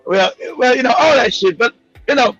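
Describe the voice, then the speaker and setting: High husky man, excitable talk-show host, dull dry audio. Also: normal speed, faint music behind. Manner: profane, excitable, laughs often. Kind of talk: casual podcast talk.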